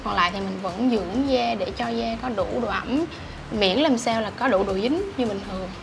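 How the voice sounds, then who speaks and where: Makeup teacher, woman, mid-pitched and quick, casual explaining; studio noise clearly audible. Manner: brisk, explanatory, chatty. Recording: noisy room background.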